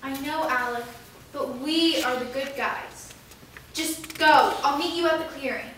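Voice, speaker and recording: A woman's voice: high voice, unhurried, a bit bright. A woman playing an earnest, principled young woman in a staged reading of a drama. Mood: urgent, pleading. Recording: echoey room, distant mic, faint noise.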